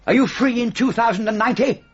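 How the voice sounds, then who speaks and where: A man's voice: extremely high pitch, fast talker, breathy edge, dull audio, soft background music. An actor playing a sardonic, exasperated middle-aged British writer and father in a naturalistic radio comedy drama, sarcastic and dry. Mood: bitterly sarcastic.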